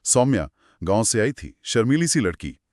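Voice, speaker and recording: Low-voiced guy telling scary stories, really lively pitch, short suspenseful phrases, dry sound. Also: normal speed, no echo. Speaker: host narrating horror stories. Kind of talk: conversational horror storytelling.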